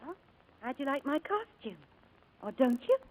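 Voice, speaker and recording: A woman's voice: sits high, big pitch swings, normal speed, poor audio. An actress in a 1940s-style radio drama playing a kind, chatty young showgirl, coaxing and protective. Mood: bright, coaxing. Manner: bubbly, coaxing, gently reassuring. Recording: old radio broadcast recording.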